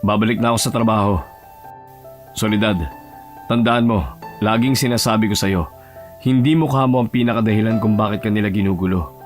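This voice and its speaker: Male actor as blunt young office worker, audio drama. Cool, terse, low-key scolding over soft music. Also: fairly even intonation, a little fast, pauses often, close to an everyday voice. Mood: curt, admonishing.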